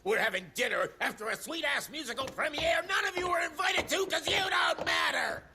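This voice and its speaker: An actor playing a pompous, foul-mouthed government secretary in a video game, sarcastic and explosive. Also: male voice, very high-pitched, brisk, sharp tone, raised voice, faint music behind, a faint crowd, exaggerated voice. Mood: furious. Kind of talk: video game cutscene acting.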